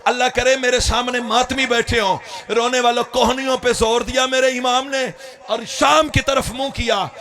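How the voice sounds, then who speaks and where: A man preaching a mourning sermon, in a very high, gravelly voice. He cries out and wails to his listeners, and his tone is bright and sharp. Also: level tone. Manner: impassioned, wailing, crying out to mourners. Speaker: religious orator.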